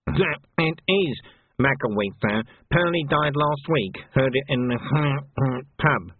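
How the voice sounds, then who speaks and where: Deferential, jittery male jail clerk done by a man, husky, hesitant and slow, audiobook character. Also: medium pitch, expressive, dry recording, phone-quality sound, pitched above the performer's own voice. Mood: nervous. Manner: hesitant, meek, throat-clearing.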